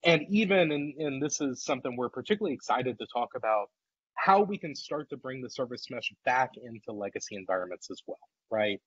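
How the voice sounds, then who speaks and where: A man in his thirties, congested and a little husky, leads a technical webinar in a loose, conversational way, full of "uh" and restarts. The sound is dry and muffled, like a video call. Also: medium pitch, big pitch swings, brisk, a bit bright, no echo. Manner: casual and conversational, with frequent fillers and repeated words. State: congested from a recent illness. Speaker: workshop presenter. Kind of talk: live webinar presentation.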